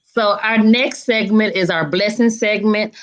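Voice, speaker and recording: A woman's voice: medium pitch, measured pace. A woman hosting a casual, chatty interview show, often repeating and affirming what others say. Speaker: talk show host.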